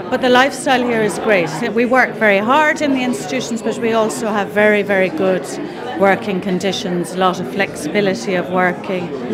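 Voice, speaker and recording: Measured middle-aged Irish woman. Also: medium pitch. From Ireland. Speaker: European Commission staff member. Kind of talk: interview answers.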